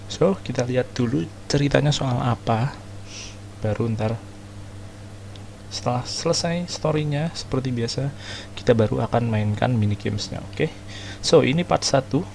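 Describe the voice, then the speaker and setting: A creaky-voiced Indonesian man chats through a game playthrough in a slangy, casual way. He mixes in English words, and there is faint noise behind him. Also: medium pitch, a little mellow. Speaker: gaming video host.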